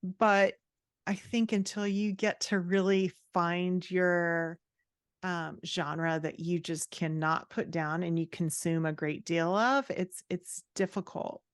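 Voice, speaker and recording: A woman's voice: fairly low pitch, steady tone, slow, clear, resonant tone, no room sound, clean studio sound. A woman guest talking casually and expressively in an interview, giving her opinions in informal language. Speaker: podcast guest, fantasy author and writing coach.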